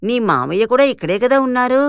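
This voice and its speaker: A woman voicing a domineering, traditional older mother-in-law in an animated moral story, lecturing sternly. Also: a little high, fast talker, clear, resonant tone, no echo, studio quality.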